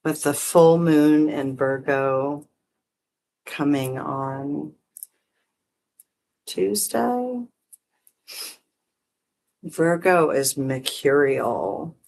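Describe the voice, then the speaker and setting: A meditation teacher guiding breath and visualization in short, gently permissive phrases with long pauses. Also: medium pitch, gravelly, slight background noise, rough recording. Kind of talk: guided meditation, unhurried instruction.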